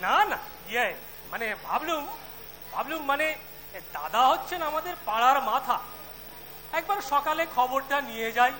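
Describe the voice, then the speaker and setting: Man playing a shrill, wheedling middle-aged neighbour. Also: expressive, moderate pace, sharp tone, heavily acted. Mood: wheedling, flattering. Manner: hesitant, ingratiating. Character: dandyish, wheedling middle-aged male neighbour, quick to take offence. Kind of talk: amateur stage audio-drama acting. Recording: echoing hall, faint hiss or hum.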